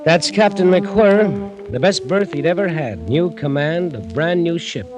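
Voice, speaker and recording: Sardonic young ship's mate, old radio. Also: male voice, on the high side, animated, a little slow. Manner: wry, colloquial, exasperated. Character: resentful young chief mate of a steamer, sardonic storyteller. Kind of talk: 1940s radio drama acting. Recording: old radio recording with music.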